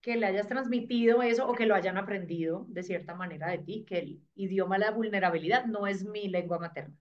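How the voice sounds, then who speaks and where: A woman's voice: medium pitch, a little fast, dull audio. A woman hosting an interview, asking curious, encouraging questions in a casual conversational way. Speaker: podcast host.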